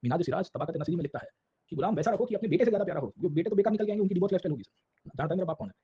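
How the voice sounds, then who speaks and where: A man's voice: high voice, animated, rapid-fire, husky, dull audio. A man with an Indian accent giving an informal, colloquial history lecture, mixing Hindi and English and often checking in with short tags addressed to his listeners as sir. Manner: colloquial, illustrating points with little acted anecdotes.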